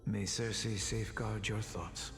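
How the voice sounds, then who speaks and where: A man's voice: fairly low pitch, steady tone, slow pace, vocal fry. A man playing a solemn, kindly sage and mentor in a video game, speaking formally and paternally. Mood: solemn, encouraging. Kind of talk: dramatic video game voice acting. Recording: echoey long reverb, music behind.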